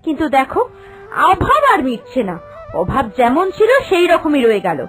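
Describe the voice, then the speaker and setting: Woman doing a young cartoon wife, super high voice, dramatic and excitable, really animated. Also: moderate pace, soft background music. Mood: bitter complaining. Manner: plaintive, emphatic, repeating phrases. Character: discontented, melodramatic young working wife, excitable and bossy.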